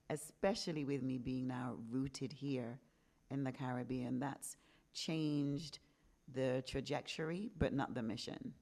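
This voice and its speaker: A woman in her early fifties speaks in an unusually deep, low register. Her manner is candid and reflective as she recounts her own past in a relaxed podcast conversation. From England. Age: 50s. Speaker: podcast host being interviewed.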